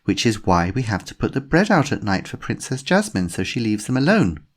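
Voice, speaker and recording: A man's voice: lowish voice, very animated, brisk. A man voicing a little girl who speaks earnestly and patiently to her father. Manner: earnest, matter-of-fact.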